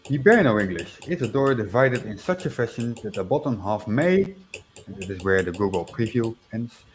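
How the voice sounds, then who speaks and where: Dutch guy rambling on his stream, lowish voice, lots of uhs, faint hiss. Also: sing-song, normal speed. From Netherlands. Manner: rambling, chuckles, many fillers and restarts. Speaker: livestream host. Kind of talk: casual chat reading viewer comments.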